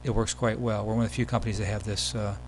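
A man giving a casual, step-by-step software tutorial as the presenter of a screen demo. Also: on the low side, flat delivery, fast talker. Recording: background hum and noise.

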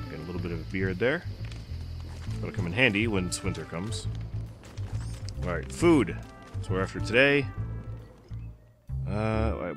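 A man casually commenting while playing a game, a bit congested from a cold and muttering to himself as he goes. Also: deep voice. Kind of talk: casual let's-play commentary. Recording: voice with loud background music. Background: background music.

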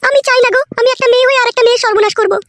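Super high chipmunky cartoon wife, rural west bengal accent, naggy and crude, talks crazy fast. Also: female voice, fairly even intonation, fairly bright tone, no room sound, studio quality. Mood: worried. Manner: crude, nagging, rapid-fire. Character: sharp-tongued, scolding middle-aged Bengali wife. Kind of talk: cartoon comedy dialogue.

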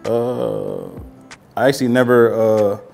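A man's voice: mid-range voice, huge pitch swings, slow, creaky, a little mellow. An American man in his thirties, a guest, chats casually in a slangy, hesitant, sometimes profane way. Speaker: guest, music artist. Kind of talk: casual chat. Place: home-style kitchen, indoors. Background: music.

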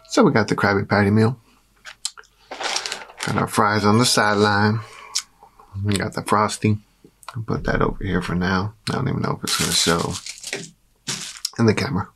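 Deep gravelly guy with a cold, chatting while he eats, noisy clicky recording. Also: moderate pace, pauses often. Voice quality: raspy. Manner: chatty, eats and talks with mouth full. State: hoarse from a cold. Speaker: food vlogger. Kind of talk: casual mukbang chat. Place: dark room at a table.

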